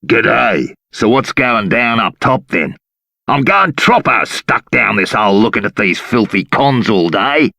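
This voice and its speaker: A man's voice: fairly low pitch, sing-song, unhurried, gravelly, bright, forceful tone, no room sound, clean studio sound, heavily acted. A man playing a bullying, sneering Australian prison guard in a video game, coarse and slangy. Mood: bored, grumbling.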